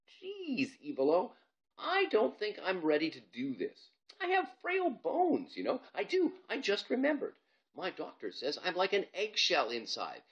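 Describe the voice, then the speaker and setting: A man's voice: high voice, huge pitch swings, a bit bright, muffled recording, voice pushed up, heavily acted. A man voicing an anxious, dramatic seventh-grade boy who whines and pleads in a storybook reading. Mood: whiny stalling. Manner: whiny, pleading, drawn-out protests.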